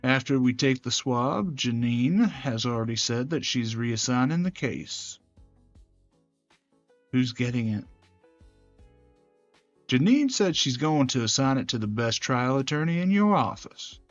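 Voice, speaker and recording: A man performing scripted dramatic dialogue from a visual novel in a measured, serious manner. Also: medium pitch, expressive, dry recording, dull audio. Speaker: gaming channel creator voicing every character. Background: game music.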